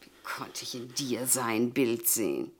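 Domineering sensual woman, slow drawn-out commands, breathy, swooping pitch, radio drama. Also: expressive, heavily acted. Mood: indignant, scornful. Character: domineering, sensual woman obsessed with a lost lover. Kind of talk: German radio play acting.

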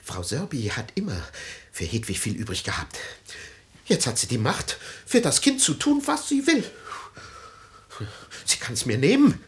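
A man's voice: medium pitch, huge pitch swings, unhurried. An actor playing an anguished, self-pitying middle-aged father with theatrical declamation in a 1950s radio drama. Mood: bitter, suspicious. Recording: faintly noisy 1950s radio recording.

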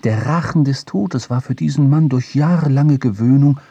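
A man narrating a literary audio drama with a husky voice, recorded in a studio. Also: medium pitch, normal speed, dark tone, dry recording, muffled recording. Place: dry studio.

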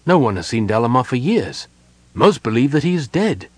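A man's voice: mid-range voice, very animated, moderate pace, no echo, voice pushed up. A man voicing a dutiful young knight, stern and formal, with a clipped and reluctant manner. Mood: solemn, reluctant.

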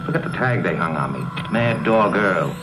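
Low-voiced tough American gangster. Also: male voice, lively intonation, normal speed, over loud music. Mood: bitter. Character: tough, menacing male gangster on the run. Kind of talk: old Hollywood crime film acting.

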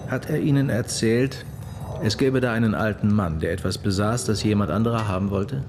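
An actor as low-voiced, probing police detective. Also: male voice, brisk, noisy background, close to an everyday voice. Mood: probing, insistent. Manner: probing, matter-of-fact. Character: methodical, persistent male police detective questioning a witness. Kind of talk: German radio crime drama acting.

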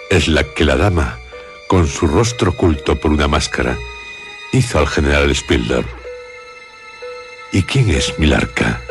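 A man from Spain presenting a radio suspense series in an ominous, theatrical manner, with deliberate pauses. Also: very deep voice, gravelly. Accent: Spanish from Spain. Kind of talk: scripted suspense narration for radio. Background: music.

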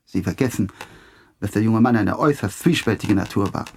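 An actor as a husky, pompous state prosecutor. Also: male voice, fairly low pitch, a little fast, close to an everyday voice. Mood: pompous, declaiming. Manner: pompous, declaiming, condescending. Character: pompous male public prosecutor, fond of verse. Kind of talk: German radio play acting.

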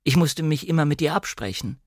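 A man voicing a coolly deferential female housekeeper of a manor in an audiobook drama, with formal, measured politeness. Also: on the high side, lively intonation, no room sound, studio quality, pitched above the performer's own voice.

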